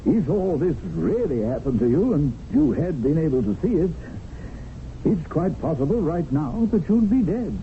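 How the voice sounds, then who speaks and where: An actor playing a doubtful, placating caretaker with a hesitant manner in an old radio drama. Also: male voice, fairly high pitch, big pitch swings, moderate pace. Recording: tinny old radio broadcast, faint noise.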